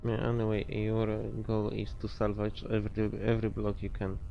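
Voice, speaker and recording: A man's voice: fairly low pitch, monotone, moderate pace, creaky, muffled recording, faint music behind. A man giving casual gameplay commentary, thinking aloud and swearing freely as he plays. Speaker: gamer commentating own gameplay.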